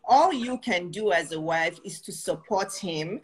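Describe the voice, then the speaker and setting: A Nigerian woman hosting a chat as a coach, encouraging and animated, often laughing as she talks. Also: on the low side, measured pace, breathy edge, low-quality recording. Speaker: host and coach. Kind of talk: casual video-call chat.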